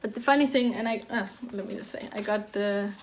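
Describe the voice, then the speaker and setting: A woman's voice: mid-range voice, brisk, a little hiss or hum. A woman in her thirties from Denmark, hosting a knitting podcast in a casual, hesitant way, with many fillers and restarts. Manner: even, flat delivery. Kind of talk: casual chat.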